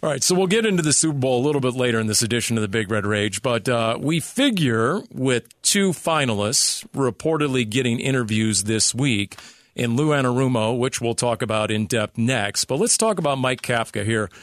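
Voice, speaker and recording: A man's voice: medium pitch, lively intonation, brisk, no echo, clean studio sound. A man hosting a sports radio show, talking in a hyped, theatrical, emphatic showman style.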